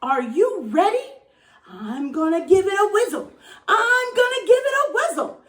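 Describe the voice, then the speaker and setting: A woman hosting children's storytime, introducing the book to kids in an excited, sing-song, giggly way. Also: very high voice, measured pace, echoey room.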